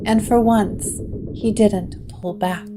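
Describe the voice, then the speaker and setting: Woman, measured dramatic narrator, mid pitch, over guitar music. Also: fairly even intonation. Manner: slow, hushed, dramatic storytelling. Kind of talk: scripted gothic drama narration.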